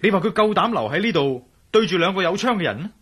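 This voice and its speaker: An actor playing a shrewd, teasing adventurer in a radio drama, with a wry, colloquial manner and a Hong Kong Cantonese accent. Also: male voice, high voice, animated, fast, a bit bright, husky, heavily acted. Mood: reasoning. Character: shrewd, teasing male adventurer, cool under pressure.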